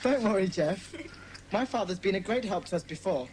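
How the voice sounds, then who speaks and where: A woman's voice: mid-range voice, lively intonation, moderate pace, a little mellow, a little hiss or hum, lightly acted. A woman acting a bossy, sensible schoolboy club leader in a dramatised children's audiobook. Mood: decisive. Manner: commanding, sensible.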